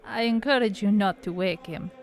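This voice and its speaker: A woman voicing a calm, motherly nun, speaking softly and soothingly. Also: medium pitch, moderate pace, natural voice. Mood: gentle. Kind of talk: audio drama acting.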